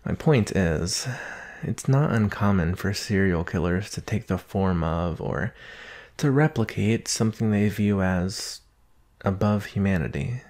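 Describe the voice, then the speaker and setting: A low-voiced boyfriend in an intimate ASMR roleplay, played by a man, with a creaky edge and an unhurried pace. He is soft-spoken and affectionate, teasing gently and thinking things through aloud with long pauses. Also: animated. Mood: musing, analytical. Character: doting boyfriend, soft-spoken, teasing, analytical former forensics student.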